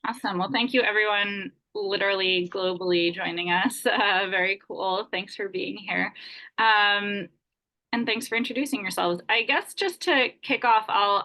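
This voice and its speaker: Woman, panel host, medium pitch, bright forceful tone, informal and conversational. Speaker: panel moderator.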